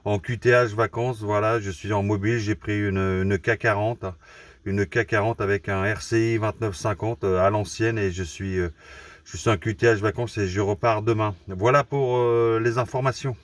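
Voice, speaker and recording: Male radio operator, mobile station, procedural and halting, a bit far from the microphone. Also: lowish voice, fairly even intonation, no room sound. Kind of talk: casual radio exchange. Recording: dry, distant-sounding radio microphone.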